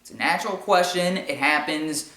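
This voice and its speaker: A man with a high-pitched voice giving informal opinion commentary in an explanatory, argumentative way with emphatic repetition. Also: normal speed, bright, forceful tone. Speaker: commentary show host. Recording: echoey room sound.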